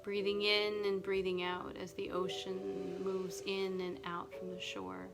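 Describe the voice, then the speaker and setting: A woman's voice: medium pitch, gravelly, poor audio. Soothing woman guiding a sleep meditation. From Nova Scotia. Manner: slow, soft and soothing. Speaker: meditation guide. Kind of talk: guided relaxation meditation. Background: music and ocean wave sounds.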